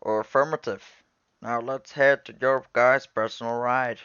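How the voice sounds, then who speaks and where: A man plays a patient alien military commander from an animated sci-fi film. He speaks formally and proudly, like a mentor briefing trainees. The recording is very dry and slightly dull. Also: medium pitch, clean tone, no echo, lightly acted. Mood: calm, authoritative. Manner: formal, explanatory, measured.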